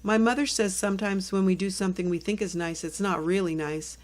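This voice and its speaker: A woman voicing a kind, fair-minded young schoolgirl in a storybook, earnestly explaining in a gently serious way. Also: mid-range voice, steady tone, fairly quick, close to an everyday voice.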